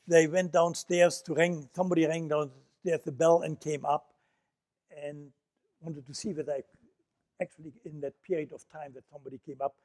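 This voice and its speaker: An older man with a Dutch accent gives a reflective, storytelling lecture from an auditorium stage, pausing often on fillers. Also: a little high, darkish tone. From Netherlands. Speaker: Holocaust survivor speaker.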